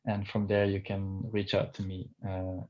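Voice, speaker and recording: A man's voice: deep voice, completely flat delivery, normal speed, dark tone. Male coach, native Dutch speaker, hesitant and searching, muffled recording. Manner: hesitant, many fillers and restarts. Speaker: touch and intimacy coach. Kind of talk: video call interview.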